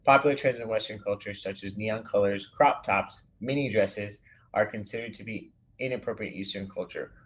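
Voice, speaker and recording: A male design instructor with a somewhat low voice talks through a class project in a recorded lecture. He explains at an easy pace and reads an example text aloud, restarting a word now and then. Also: lo-fi sound.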